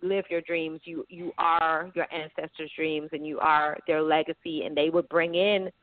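A woman's voice: low-pitched, little pitch movement, moderate pace, dry recording. Earnest woman on a tinny phone line. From United Kingdom, raised in Florida. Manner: earnest, outspoken, with many restarts. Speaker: guest, educator and writer. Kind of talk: call-in radio interview.

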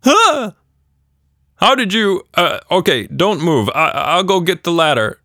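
A man's voice: mid-range voice, sing-song, normal speed, bright, forceful tone, no echo, studio quality, voice pushed up. A man voicing a flustered, caring father who speaks hurriedly and stammers.

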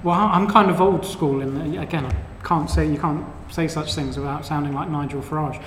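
Higher-voiced man, hesitant, echoey room. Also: fairly even intonation, a little fast, a bit bright, slight background noise. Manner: hesitant, restarts and qualifies his views. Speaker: podcast co-host. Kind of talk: casual chat. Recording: echoey room with long reverb.

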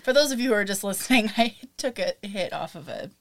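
A young woman co-hosting a podcast, chatting casually with lots of fillers and laughs, a little raspy. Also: mid-range voice, expressive, fast, a little hiss or hum. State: slightly raspy from vaping. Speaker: podcast co-host. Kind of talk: casual chat.

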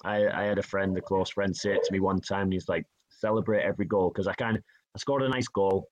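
A man from the of England with a low voice talks casually in an interview. He tells long anecdotes and quotes other people's lines back in dialogue. His tone is easygoing and self-deprecating. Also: fairly even intonation, quick pace, muffled recording, noisy background. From Northeast England. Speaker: guest, hockey player.

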